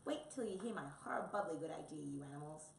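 A woman's voice: sits low, expressive, fairly quick, creaky. A woman voicing a boisterous, muddle-headed male wombat in a children's storybook, with a blunt, eager, childlike manner. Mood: eager, insistent. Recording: roomy, distant mic, faint noise.

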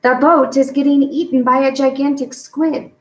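A woman's voice: sits high, steady tone, normal speed, pitched above the performer's own voice, natural voice. Lady doing a dramatic outspoken little girl from a kids book, kinda indignant, roomy echo. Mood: alarmed. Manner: exclaiming, emphatic. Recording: echoey room, distant mic.

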